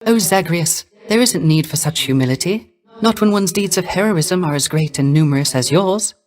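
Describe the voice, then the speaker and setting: Male actor as melancholy young male bard, soft airy voice, courtly formal diction, earnest, theatrical video game character. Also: high-pitched, moderate pace, natural voice. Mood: admiring. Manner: ornate, formal, deferential diction. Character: gentle, melancholy, courtly young male poet-musician of the underworld.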